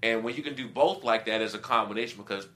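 Bright-voiced former football player. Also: male voice, on the low side, quick pace. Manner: conversational, explains with examples and quoted asides. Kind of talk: casual sports talk.

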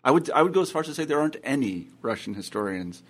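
A man's voice: medium pitch, sing-song, fast, fairly bright tone. A man interviewing a guest in a casual, conversational way, feeling his way through his questions with hesitant, rambling phrasing. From United States. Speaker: interviewer, history podcast host; university teacher.

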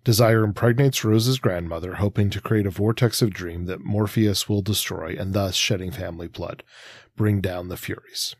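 A male podcast co-host with a fairly low voice works through story analysis casually. He explains his points in a halting, conversational way, recorded close and dry. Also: steady tone, darkish tone, breathy edge, dry recording.

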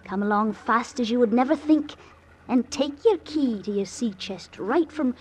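High-voiced coy girl. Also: expressive, moderate pace, a little mellow, slightly rough. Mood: coy coaxing. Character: wheedling young Victorian London streetwalker, coy and sly. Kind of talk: 1950s radio drama acting. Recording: old radio recording with hiss.

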